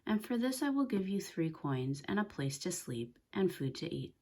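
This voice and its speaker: Storybook old witch, female, read by a woman narrator: commanding, instructive, husky edge, incantatory. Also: lowish voice, big pitch swings, normal speed, warm tone, voice pushed up. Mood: firm. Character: old village witch, singing spells, bossy and firm.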